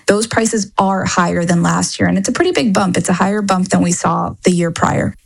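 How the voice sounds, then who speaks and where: A woman's voice: lowish voice, a little fast, vocal fry. A woman reporter giving conversational, explanatory answers in a radio interview, often checking for agreement. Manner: conversational, seeks agreement with "right?". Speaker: economy reporter being interviewed.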